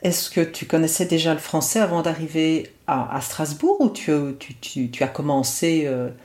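A woman's voice: low-pitched, lively intonation, normal speed, a little mellow. A woman interviewer asking attentive, encouraging questions.

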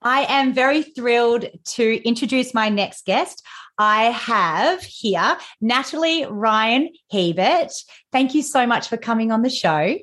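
A woman's voice: a little high, expressive, slow pace, fairly bright tone. A woman with an Australian accent hosting a podcast interview, chatty and enthusiastic, often restarting her sentences. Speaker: podcast host.

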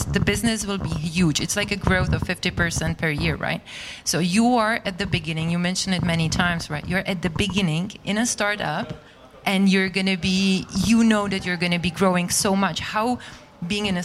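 A woman speaks English with a Czech accent in a slightly low voice. She works as the interviewer and asks long, probing questions. Faint noise sits behind her voice. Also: brisk.

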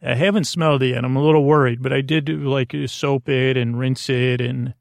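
A man hosting a sleep podcast in a creaky voice, with a meandering, halting, self-deprecating monologue that often trails off mid-sentence. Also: mid-range voice, fairly even intonation, dry recording, studio quality. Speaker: podcast host. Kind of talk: rambling bedtime monologue to help listeners fall asleep.

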